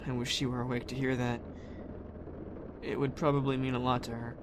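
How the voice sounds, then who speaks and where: A man acting a blunt but earnest, headstrong teenage boy in a naturalistic audio drama, speaking informally and a little haltingly. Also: mid-range voice, flat delivery, natural voice. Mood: subdued, confiding. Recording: clear vehicle-like background noise.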